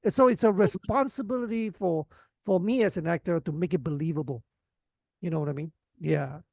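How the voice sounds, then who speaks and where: A middle-aged man with a fairly high voice chats as a podcast guest. He rambles in a relaxed way, often checks in with the listener and laughs easily. His recording is close and dry. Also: brisk, creaky, no echo. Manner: relaxed, rambling, frequent "you know what I mean", laughs often. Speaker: actor and action choreographer guest. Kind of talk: casual podcast interview.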